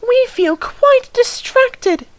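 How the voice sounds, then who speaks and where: A man voicing a fussy, distracted female railway carriage protesting in a plaintive falsetto whine for a storybook. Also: animated, very slow, no echo, full character voice. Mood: distressed protest.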